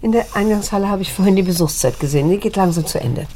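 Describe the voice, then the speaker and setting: An actress in a radio drama playing a middle-aged daughter, restrained and quietly repelled, measured and insistent as she questions and narrates, in a husky voice. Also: medium pitch, lightly acted. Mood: accusing, pressing.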